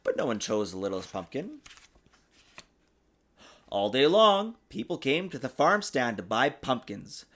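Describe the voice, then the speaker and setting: A man reading a children's storybook aloud in an expressive, sing-song way, as a father does for his young child. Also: mid-range voice, unhurried, long pauses. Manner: patiently answers child's interruptions. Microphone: desktop USB microphone.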